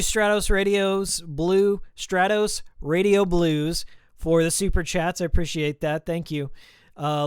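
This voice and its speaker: Slightly hoarse man streaming casually. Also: fairly high pitch, no room sound, clean studio sound. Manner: relaxed, rambling, reading out viewer names slowly. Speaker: game streamer. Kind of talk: casual live-stream chat.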